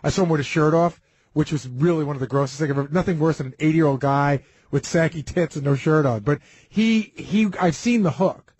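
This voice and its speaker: A man with a husky, slightly high voice tells anecdotes on a casual talk panel. He moves quickly and speaks bluntly, swearing freely. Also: a little mellow, no echo. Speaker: radio show staffer on a talk panel.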